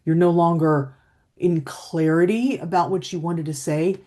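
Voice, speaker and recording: A middle-aged woman with a low voice, coaching on communication in a slow, steady, reflective way, often checking in with "right?". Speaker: emotional intelligence coach. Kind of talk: calm teaching talk to camera.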